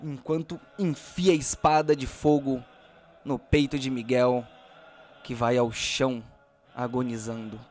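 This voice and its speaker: A man's voice: medium pitch, flat delivery, a little slow, darkish tone, soft background music. A man narrating and hosting an audio role-playing drama as game master.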